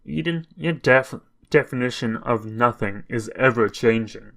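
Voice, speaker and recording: A man's voice: medium pitch, unhurried, fairly bright tone. A man voicing a composed, dutiful male guardian warrior from a visual novel, in a matter-of-fact, reassuring way. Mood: calm reassurance.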